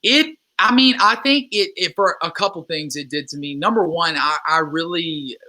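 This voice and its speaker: Bright-voiced young man chatting. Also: a little high, moderate pace. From Louisiana. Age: 20s. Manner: rambling, earnest, many restarts and fillers. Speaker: guest musician. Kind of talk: casual interview chat.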